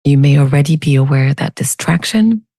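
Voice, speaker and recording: Low, mellow woman guiding a meditation. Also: lively intonation, no room sound, clean studio sound. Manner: soothing, unhurried, gently inviting. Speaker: meditation teacher. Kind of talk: guided meditation.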